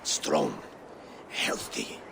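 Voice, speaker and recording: An actor playing an ailing, proud old Norse jarl in a video game, gravelly and sometimes breathy. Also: male voice, very slow, background noise. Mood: warm, weary. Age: older. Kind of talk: cinematic game acting.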